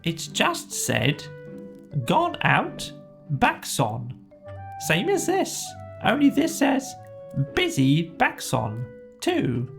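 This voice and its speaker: A woman's voice: lowish voice, huge pitch swings, very slow, creaky, faint music behind, heavily acted. A woman voicing a busy, self-important rabbit in a children's story, emphatic and fretful. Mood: explaining. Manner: emphatic, questioning.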